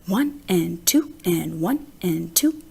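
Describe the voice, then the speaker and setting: A woman reading a children's picture book aloud as an expressive narrator, with deliberate phrasing and stressed words. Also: on the low side, vocal fry, husky.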